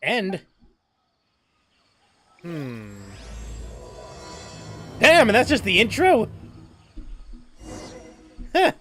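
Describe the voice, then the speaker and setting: A gaming streamer giving casual let's-play commentary, talking in a halting, stammering way full of restarts and fillers. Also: medium pitch, wildly swooping pitch, pushed and bright, soft background music.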